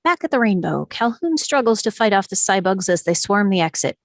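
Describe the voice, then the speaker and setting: A woman narrator reads out scene directions from a script. Her voice sits at a middle pitch, dramatically engaged, and the sound is dry with no room around it. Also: very animated, brisk, no room sound. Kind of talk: scripted narration at a table read.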